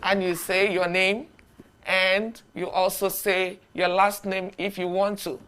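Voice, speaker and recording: Woman English teacher for beginners, unhurried, crisp diction, models phrases to repeat. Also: mid-range voice, level tone, fairly bright tone. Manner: slow, deliberate, repeats phrases for learners to echo. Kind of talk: beginner language lesson.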